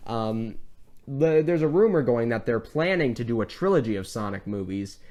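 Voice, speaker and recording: A man's voice: mid-range voice, lively intonation, moderate pace, mellow. A man co-hosting a casual film discussion, talking in an earnest, rambling, enthusiastic way. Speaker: podcast co-host.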